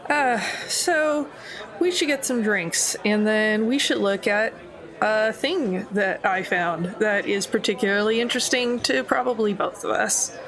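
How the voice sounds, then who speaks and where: A woman's voice: mid-range voice, unhurried, a bit bright. Wry woman playing a tabletop game. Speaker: player in tabletop roleplaying game. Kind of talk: casual improvised roleplay chat.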